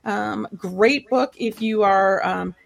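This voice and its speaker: A woman from Ohio co-hosting a podcast, chatting in an animated way with quick asides. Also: medium pitch, vocal fry. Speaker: podcast co-host. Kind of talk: casual podcast chat.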